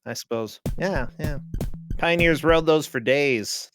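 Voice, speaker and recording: A man's voice: fairly high pitch, slow pace, music in the background. A man chatting casually and playfully as co-commentator on a video game, joking along.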